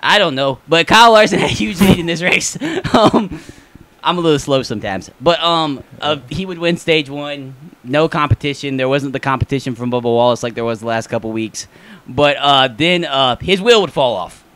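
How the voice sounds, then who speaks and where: A man's voice: fairly high pitch, moderate pace, fairly bright tone, no echo. Joking young male podcast co-host. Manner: joking, rambling, laughs often. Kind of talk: casual sports chat.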